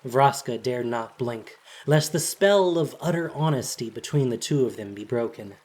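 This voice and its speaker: A narrator with a husky, breathy voice reads a dramatic story in measured, solemn, deliberate phrases. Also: mid-range voice, animated, darkish tone.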